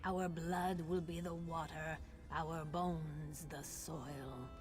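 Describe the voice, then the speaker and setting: Slow, low-voiced solemn priestess. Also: female voice, fairly even intonation, over music. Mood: solemn, resigned. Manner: solemn, ritual delivery. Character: solemn self-sacrificing female Argonian priestess speaking to her acolytes. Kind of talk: video game voice acting.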